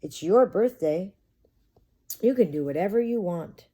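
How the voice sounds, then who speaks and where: A bustling mother elephant from a picture book, read by a woman, bossy yet fond. The voice is very mellow and motherly, with brisk, firm phrasing. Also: mid-range voice, expressive, slightly rough, voice pushed up. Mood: cheerful, indulgent.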